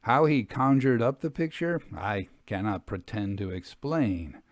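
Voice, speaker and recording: A man voicing an earnest, learned occult investigator in a storybook reading, pedantic and measured. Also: fairly low pitch, expressive, no room sound, clean studio sound. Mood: assured, explaining. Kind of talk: storybook character dialogue.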